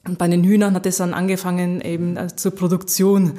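A woman's voice: lowish voice, little pitch movement, a little fast, dark tone. A woman, a guest in an interview, speaking hesitantly with many fillers and restarts. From Japan. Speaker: interview guest, agricultural researcher. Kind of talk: casual interview.

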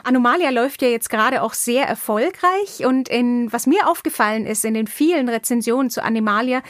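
A woman's voice: fairly high pitch, animated, fairly quick, dry recording, studio quality. A woman hosting an interview podcast in a conversational way.

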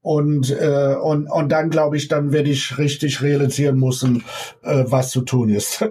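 A middle-aged man from the United States with an American accent, a ballet teacher talking as an interview guest in halting German full of pauses and small laughs. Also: medium pitch, level tone, dark tone, a little hiss or hum. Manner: halting German with many ums and frequent laughs. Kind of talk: casual interview over remote connection.

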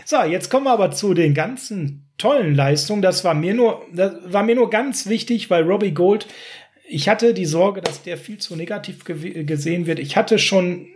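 Chatty German podcast host, slightly high voice. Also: male voice, moderate pace, a little mellow. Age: middle-aged. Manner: chatty and direct, speaks to listeners, tags "ne?". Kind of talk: casual sports podcast discussion.

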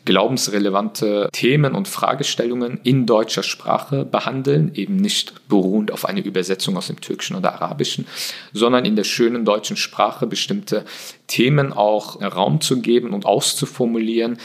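Low gravelly man, earnest and measured. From Germany. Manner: earnest, measured, frequent hesitations. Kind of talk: explanatory interview answers.

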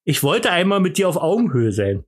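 Lively high-voiced Berlin man bragging. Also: a little fast, breathy edge. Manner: playful, boastful, mock-pompous, rambling with many fillers. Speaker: podcast host. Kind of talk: joking banter.

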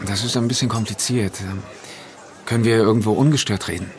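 An actor playing a vengeful man in an audio thriller, polite on the surface, deliberate and controlled. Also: lowish voice, a little hiss or hum, close to an everyday voice. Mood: polite, probing. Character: vengeful man, polite and halting, coldly menacing. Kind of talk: naturalistic audio drama acting.

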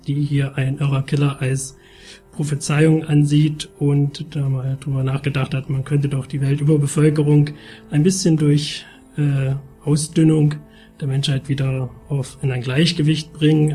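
Male host, casual reviewer. Mellow, relaxed, slightly high voice, chatty delivery, faint music behind. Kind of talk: casual magazine show review.

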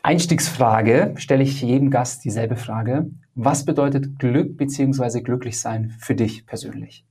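A man's voice: medium pitch, a little slow, warm tone, breathy edge. A man hosting his podcast, asking guests friendly, upbeat questions. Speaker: podcast host and app founder. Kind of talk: podcast interviewing.

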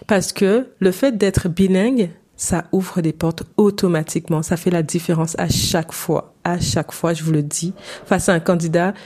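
Lady podcasting solo, lowish mellow voice, chatty with lots of ums. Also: moderate pace. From Saint-Martin, Caribbean. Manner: chatty, personal, many fillers. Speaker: podcast host.

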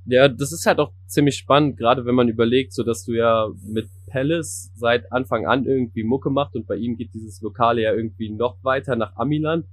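A man's voice: medium pitch, brisk, few pauses, no room sound. A man interviewing a musician, asking questions in a casual, chatty way with loose phrasing.

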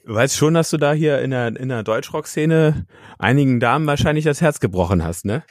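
A man in his thirties from Germany, interviewing a fellow musician in a relaxed, rambling way with lots of fillers. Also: mid-range voice, brisk, dry recording, studio quality. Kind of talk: casual interview chat.

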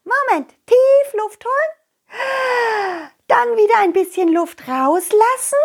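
A woman's voice: very high voice, expressive, slow pace, vocal fry, pitched above the performer's own voice, heavily acted. A woman voicing a cheerful, friendly, giggly pink horse in a children's audio story. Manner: bubbly, giggly.

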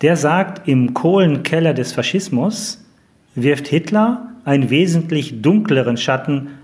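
A man's voice: a little high, lively intonation, unhurried, a little mellow. A mature man from West Germany asking serious, considered questions as an interviewer.

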